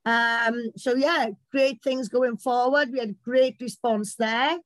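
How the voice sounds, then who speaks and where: British woman, campaign organiser; loud, talkative storyteller, high-ish pitch. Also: steady tone, slow, dry recording, soft background music. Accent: British English. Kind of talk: casual chat.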